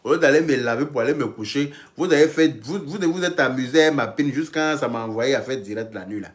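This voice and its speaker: Blunt male livestream host from Côte d'Ivoire, crude and dismissive, mid-pitched voice. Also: fast. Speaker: livestream host taking calls. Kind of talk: casual live-stream talk.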